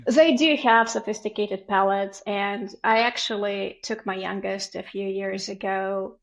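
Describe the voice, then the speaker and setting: Gravelly Ukrainian-accented woman chatting. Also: mid-range voice, steady tone, a little slow, rough recording. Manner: storytelling, acts out family dialogue. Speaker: guest. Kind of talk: casual podcast chat.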